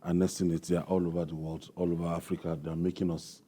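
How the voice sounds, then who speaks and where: A man's voice: sits low, flat delivery, quick pace, dark tone, slight background noise. A man giving casual commentary as a discussion host.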